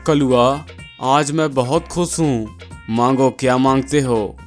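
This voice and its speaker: A greedy, scheming village moneylender from a moral-story cartoon, acted by a man. He talks slowly in a medium-pitched voice, bossy one moment and wheedling the next, over soft music. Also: steady tone, lightly acted. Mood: scheming, commanding.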